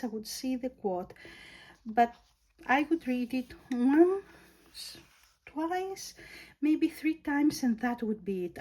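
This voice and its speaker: A woman with a Greek accent, English her second language, casually reviewing a planner and pausing to search for words. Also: a little high, mellow, breathy edge, poor audio. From Greece. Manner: slow, thoughtful, searching for words. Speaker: planner vlogger.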